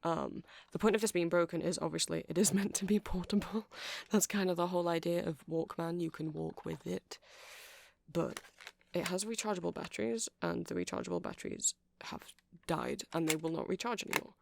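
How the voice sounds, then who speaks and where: A performer playing a friendly, nerdy vampire music shop keeper in an ASMR roleplay, rambling softly and apologetically to a customer with hesitant, casual speech. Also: mid-range voice, expressive, brisk, creaky, background noise. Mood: nerdy, explaining. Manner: soft, rambling, hesitant, self-deprecating. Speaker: store clerk.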